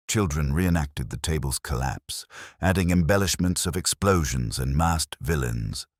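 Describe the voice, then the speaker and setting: A man reading scripted mystery fiction in a measured, literary storytelling manner. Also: very deep voice, husky, dry recording, studio quality. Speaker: narrator.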